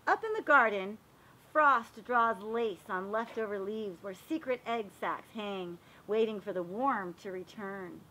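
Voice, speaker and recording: A woman reading a picture book aloud to young children, animated and expressive, pausing to explain and ask questions. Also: mid-range voice, low-quality recording. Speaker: read-aloud storyteller for children.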